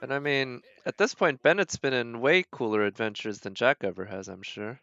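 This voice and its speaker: A man's voice: medium pitch, moderate pace, gravelly, bright, forceful tone, dry recording, highs cut off. A man giving casual, rambling gameplay commentary as a streamer, thinking aloud as he plays. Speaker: game streamer.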